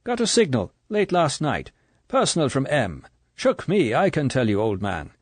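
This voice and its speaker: Guy doing a big shy agent, faint irish brogue, clipped chummy bits, pitched way up from his own voice, dry close sound. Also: huge pitch swings, normal speed, no room sound. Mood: brusque. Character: burly, shy, odd-eyed male agent. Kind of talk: audiobook character voice.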